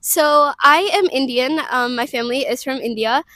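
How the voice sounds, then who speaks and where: A woman's voice: sits high, moderate pace, bright, forceful tone, a little hiss or hum. A young woman in her twenties from Ohio, a college student whose first language is Tamil, chatting casually with frequent "like" and "um" fillers. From Cleveland, Ohio, US. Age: 20s. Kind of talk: casual interview monologue.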